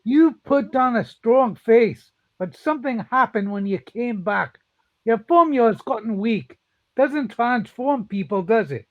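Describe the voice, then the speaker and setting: Old cartoon duck tycoon, played by a man, high voice, stern and defiant, muffled lo-fi recording. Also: lively intonation, a little slow. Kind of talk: live comic dub acting. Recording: dull lo-fi audio.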